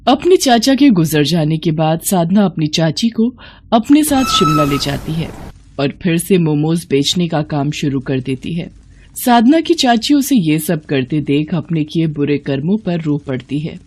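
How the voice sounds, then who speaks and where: A woman's voice: low-pitched, lively intonation, a little slow, darkish tone, husky. A woman storyteller reading scripted narration for a moral bedtime story.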